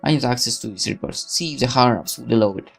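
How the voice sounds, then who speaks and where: Man, let's player narration, mid-pitched, vocal fry, sardonic, dramatic reading, faint music behind. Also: very fast. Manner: expressive, sardonic.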